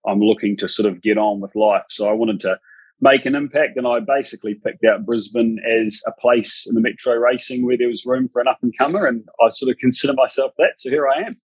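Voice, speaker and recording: A man in his thirties with a New Zealand accent, a guest on a podcast, talking in a relaxed, chatty way. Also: medium pitch, brisk, husky, dry recording, low-quality recording. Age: 30s. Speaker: racehorse trainer guest. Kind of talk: podcast interview.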